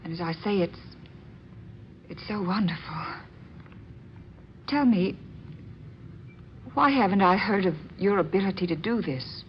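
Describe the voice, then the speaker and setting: A woman's voice: mid-range voice, a little slow, long pauses, lightly acted. Woman playing earnest young lady in muffled radio drama. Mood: awed, hesitant. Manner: hesitant, breathlessly earnest, repeats words. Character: earnest, awed young woman visiting a seance. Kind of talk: old-time radio drama acting. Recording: muffled, noisy old radio recording.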